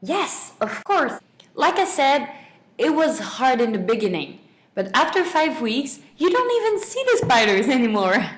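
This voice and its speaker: Young female student, slightly high pitch, semi-prepared interview guest delivery. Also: very animated, unhurried. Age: young adult.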